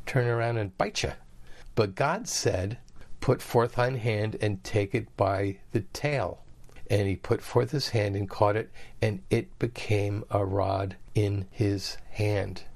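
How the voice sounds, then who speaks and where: Lower-voiced man teaching the Bible. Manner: reads scripture then explains in plain conversational asides.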